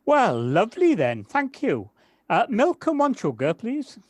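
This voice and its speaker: An actor playing a kindly, modest gardener in an audio drama, hesitant and polite with slight stammers. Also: male voice, high voice, huge pitch swings, a little slow, darkish tone, no room sound, clean studio sound, full character voice. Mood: gentle, modest. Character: kindly, hesitant village gardener, Alan, modest and nature-loving. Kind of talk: amateur audio drama acting.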